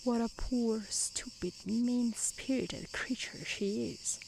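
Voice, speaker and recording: A haughty, idle fairy-tale sister, read by a woman, speaks with soft disdain. The words come slowly with a creaky edge, over faint outdoor insect noise. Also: fairly high pitch. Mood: scornful. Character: scornful, idle elder sisters mocking the youngest.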